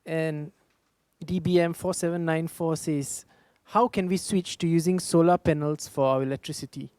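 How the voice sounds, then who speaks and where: A Singaporean man in his thirties speaks on a panel, pausing often with fillers as he answers. His voice sits fairly high for a man, and the recording is dry with little room sound. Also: steady tone, a little mellow, no echo. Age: 30s. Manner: hesitant, many fillers.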